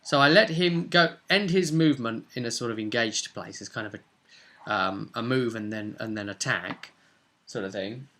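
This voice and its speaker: Male game master, mid-pitched and bright, informal session recap, rambling and halting storyteller. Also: slight background noise. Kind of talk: casual unscripted storytelling recap.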